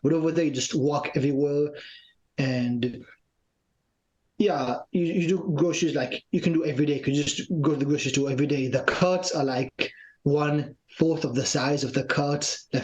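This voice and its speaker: Young israeli man, higher-pitched voice, relaxed, casual filler-heavy talk. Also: moderate pace, low-quality recording. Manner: relaxed, uses many fillers like "like". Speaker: guest, fitness trainer. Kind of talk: casual interview.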